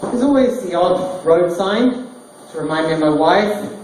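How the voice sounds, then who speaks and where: A man doing stand-up one-liners in a deadpan delivery. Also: high voice, measured pace. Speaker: stand-up comedian. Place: small bar stage, roomy and echoey. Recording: echoey distant bar recording, noisy. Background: small crowd laughing, bar noise.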